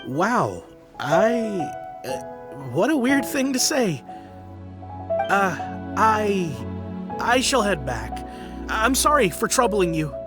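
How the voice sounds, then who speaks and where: A woman's voice: mid-range voice, big pitch swings, slow, long pauses. A woman voicing a nervous, superstitious young townswoman in a video game who stammers and hesitates. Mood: flustered. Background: game music.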